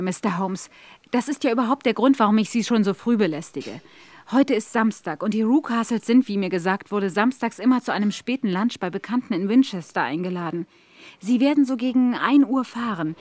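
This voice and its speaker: An actress playing a polite, earnest and anxious young governess in a radio play. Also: female voice, mid-range voice, dry recording, natural voice. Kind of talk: German audio drama acting.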